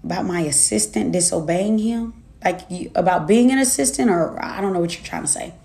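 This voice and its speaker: A woman's voice: lowish voice, brisk, vocal fry, warm tone, reverberant. A woman in her twenties, a minister and life coach, preaching her testimony to viewers in an emphatic, repetitive cadence. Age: 20s.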